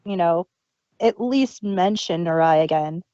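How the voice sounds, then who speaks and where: Mellow-voiced woman, dull dry recording. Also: on the low side, a little slow, no room sound. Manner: chatty, fan enthusiasm, trailing restarts. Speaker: podcast co-host. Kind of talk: casual review chat.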